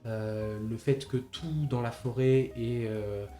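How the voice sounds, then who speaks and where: A man's voice: medium pitch, fairly even intonation, slow pace, dark tone. A man discussing films casually, hesitating and repeating words as he thinks. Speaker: podcast co-host. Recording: slightly roomy podcast recording with music behind.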